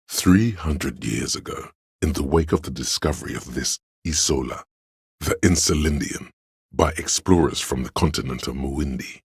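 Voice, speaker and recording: A man voicing a grave, knowing encyclopedia inside a detective's mind in a video game, with measured, solemn, erudite narration and a gravelly, husky bass. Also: dry recording, studio quality, exaggerated voice. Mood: grave, reverent.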